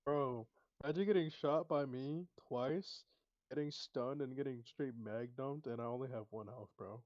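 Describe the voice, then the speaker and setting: A man's voice: medium pitch, normal speed, a little mellow, no room sound, lo-fi sound. A man playing a tabletop game, chatting casually in a brash, slangy way with heavy swearing. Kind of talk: casual gaming chat.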